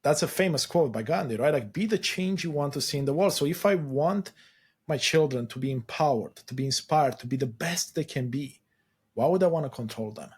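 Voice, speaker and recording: A man's voice: fairly high pitch, animated, brisk, husky. A man from Romania, co-hosting a podcast in a casual, reflective way and often checking in with "right?". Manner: affirming, reflective, tags statements with "right?". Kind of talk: casual conversational podcast chat.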